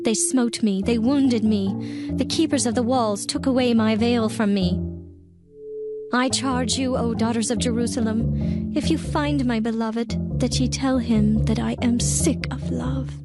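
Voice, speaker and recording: Lovesick young bride, acted by a woman for scripture drama: high, unhurried, tender and yearning, music behind. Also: steady tone, natural voice. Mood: anguished longing. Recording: music under the voice.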